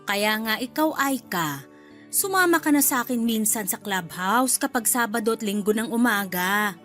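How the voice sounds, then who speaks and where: A woman's voice: a little high, lively intonation, moderate pace, fairly bright tone, soft background music. A woman playing a chatty, bluntly nosy middle-aged mother-in-law in a Filipino radio drama, with a Filipino accent. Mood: cheerfully pushy. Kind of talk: Tagalog radio drama acting.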